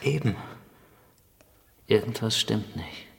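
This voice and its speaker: A man's voice: lowish voice, takes it slowly, gravelly, a bit bright, slight background noise, heavily acted. A man playing a level-headed, practical survivor in a horror radio drama, talking in terse phrases. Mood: tense, hushed. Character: level-headed male survivor guiding companions through tunnels.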